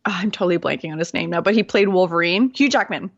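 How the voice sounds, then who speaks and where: A woman's voice: fairly low pitch, fast talker, no room sound. A woman with a Canadian accent chatting casually as an interview guest, giggly and self-deprecating, on a slightly lo-fi recording. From Canadian prairies, Manitoba. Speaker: podcast guest, clarity coach.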